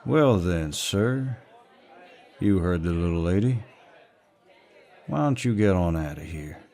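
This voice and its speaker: A man playing a protective, dominant Southern gentleman in an audio roleplay, speaking politely but firmly with a Southern US drawl. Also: deep voice, expressive, slow, a faint crowd, heavily acted. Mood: calm, firm. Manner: polite, drawling, firm, old-fashioned courtesy. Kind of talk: roleplay audio acting, intimate and scripted.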